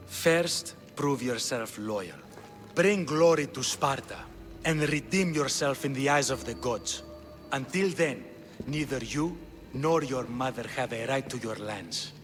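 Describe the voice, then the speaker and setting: Measured Spartan king in echoing hall. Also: medium pitch, lively intonation, a bit bright. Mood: measured, commanding. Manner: formal, deliberate. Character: measured, shrewd Spartan king, coolly authoritative. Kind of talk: video game cutscene acting. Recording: reverberant stone hall, music underneath.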